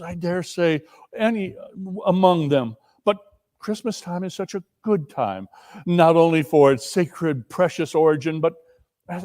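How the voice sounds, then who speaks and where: Man playing an exuberant high-pitched young gentleman. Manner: gushing, hearty, earnest. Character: cheery young nephew, exuberant, good-hearted, eager. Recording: live stage reading.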